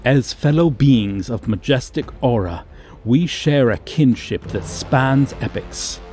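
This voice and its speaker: A man's voice: medium pitch, expressive, slow pace, a little mellow, faint music behind, voice pushed up. A man voicing a haughty, courting dragon with pompous, archaic diction in an ASMR fantasy romance roleplay. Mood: grand, reverent. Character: haughty, archaic-speaking male dragon, tenderly courting a human.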